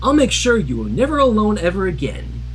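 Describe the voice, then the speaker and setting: A reader voicing a brash little male dragon, animated pitch. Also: brisk, faint music behind. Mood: friendly, reassuring. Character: small young male dragon, brash, protective, slyly vengeful. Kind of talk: dramatic fan fiction reading.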